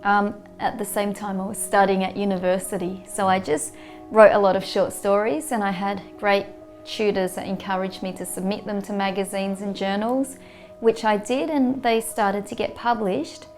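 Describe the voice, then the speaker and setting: Aussie woman late 30s chatting about herself in an interview, middle pitch, music clearly behind. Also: steady tone, normal speed. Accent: Australian. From Australia. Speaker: interview guest, writer. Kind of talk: casual reflective storytelling.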